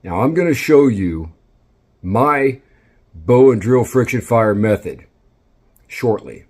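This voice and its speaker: A man's voice: medium pitch, animated, slow, darkish tone, slightly rough. A man giving instructional commentary, speaking directly and encouragingly as he coaches. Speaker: instructor and video host.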